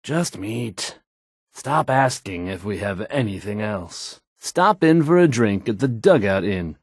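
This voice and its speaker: A smooth, self-assured radio DJ for a post-apocalyptic game. His delivery is deliberate and showy, swinging widely in pitch as he reads news and ads. The sound is dry and close. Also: animated, no room sound, heavily acted. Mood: confident, smooth. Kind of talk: polished radio announcing and ad reads.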